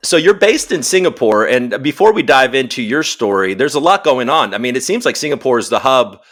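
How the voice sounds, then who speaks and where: A man's voice: mid-range voice, big pitch swings, a little fast, a bit bright. A man hosting a podcast, chatting in an upbeat, casual way while interviewing a guest over a video call. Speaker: podcast host. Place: home in Los Angeles.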